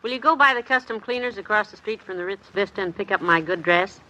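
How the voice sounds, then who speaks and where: Brief: a woman playing a sensible wife, bright high tone, 1950s radio comedy. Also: fast, lightly acted, rough recording. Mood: pleasant. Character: good-natured practical middle-aged wife.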